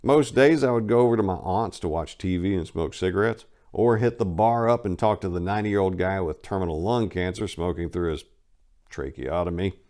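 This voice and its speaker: A man's voice: low-pitched, a little fast, mellow. A man narrating his own memoir in a casual first-person reading, wry and blunt, with crude humor.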